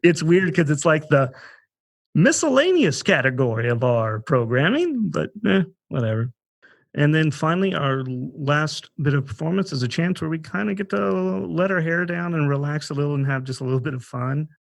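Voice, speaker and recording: A man's voice: fairly high pitch, animated, normal speed, vocal fry, no echo. A man hosting a podcast in a conversational introductory style, often thinking aloud and rephrasing as he goes. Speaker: podcast host.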